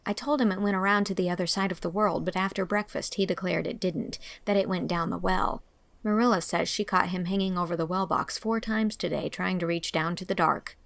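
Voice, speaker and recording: A woman's voice: on the low side, steady tone, a little fast, creaky. A woman reading scripted narration for a classic storybook.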